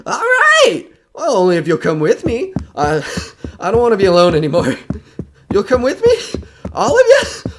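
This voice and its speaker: A man playing a nervous radio reporter who is coming unravelled in a horror audio drama, talking in a halting, rambling way. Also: very high-pitched, heavily acted.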